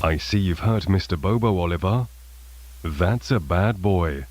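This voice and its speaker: A man voicing a soft-spoken uncle who turns out to be secretly menacing, in an animated horror short film. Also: low voice, measured pace, a little hiss or hum.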